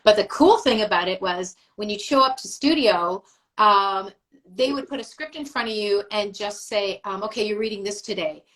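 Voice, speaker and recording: A woman's voice: medium pitch, normal speed, fairly bright tone, low-quality recording. A middle-aged woman, a voice actress, chatting as a guest on a live video call, rambling through memories with fillers and laughs. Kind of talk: casual livestream chat.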